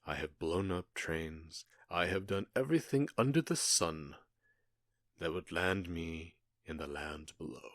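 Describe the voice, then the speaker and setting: Man acting a very deep, slow, menacing outlaw boss. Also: fairly bright tone, dry recording, clean studio sound. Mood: cold, boastful. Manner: mock-polite, taunting, deliberate pauses. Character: menacing, gloating male outlaw gang boss and kidnapper. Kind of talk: ASMR roleplay voice acting.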